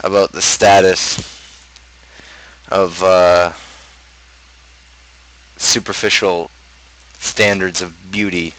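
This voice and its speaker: Low-voiced young man ranting casually. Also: little pitch movement, very slow, pauses often, fairly bright tone, rough recording. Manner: opinionated, ranting. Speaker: livestream host. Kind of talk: casual rambling livestream chat.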